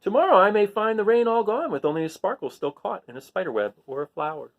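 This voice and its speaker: A man's voice: high voice, fast talker, dull audio. A man reading picture books aloud to children, playing up the sound words and chatting simply to his young listeners in between. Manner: expressive, plays up sound words, simple child-directed phrasing. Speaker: read-aloud host for children. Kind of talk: animated storybook read-aloud with casual asides to young listeners.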